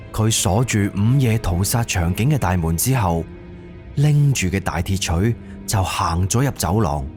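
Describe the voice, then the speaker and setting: A man's voice: on the low side, a little mellow. A man with a Cantonese accent narrating a scripted suspense horror audio drama.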